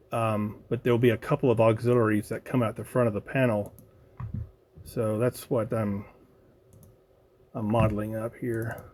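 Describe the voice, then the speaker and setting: An American man talks through a hobby project in a casual, unscripted way. His pitch sits in an ordinary middle range. He thinks aloud haltingly, feeling his way through each explanation. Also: a little mellow. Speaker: hobbyist video host.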